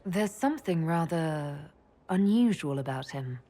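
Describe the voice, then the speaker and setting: Lively-toned fantasy heroine. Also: female voice, deep voice, a little slow. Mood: composed, curious. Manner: direct, questioning. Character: composed, inquisitive heroine, a mage leading an order. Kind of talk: dramatic video game dialogue.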